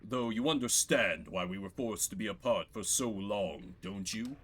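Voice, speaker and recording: A man plays a fatherly older gentleman who leads a band in a fantasy game. His speech is formal, eloquent and measured, with courteous phrasing and a pitch that dips low and moves freely. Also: big pitch swings, exaggerated voice. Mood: fond. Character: Volfred, courteous fatherly older male leader.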